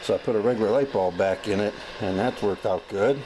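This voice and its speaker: An American man giving a casual, unscripted demonstration as a hobbyist, talking in a folksy, plain-spoken, halting way. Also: lowish voice. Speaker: hobbyist demonstrating a vintage gadget. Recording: clearly noisy amateur recording.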